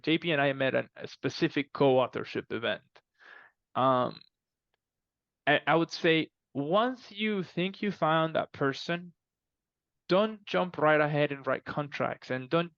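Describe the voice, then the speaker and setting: A man chatting casually as a podcast guest, explaining things in an animated, emphatic way and often repeating himself. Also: fairly high pitch, gravelly, dry recording, dull audio. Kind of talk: casual conversational interview answers.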